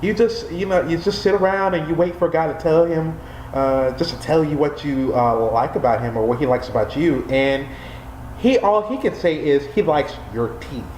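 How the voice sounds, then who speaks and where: A man's voice: fairly high pitch, moderate pace, a little mellow, lightly acted. A man voicing a melodramatic drunk young woman, wounded and rambling, in a comedic vlog story. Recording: roomy echo, faint background noise.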